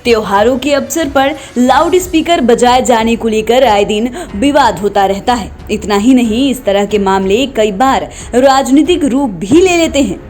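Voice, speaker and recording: A woman's voice: fairly high pitch, a little mellow. A woman narrating a scripted news report as the reporter. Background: background music.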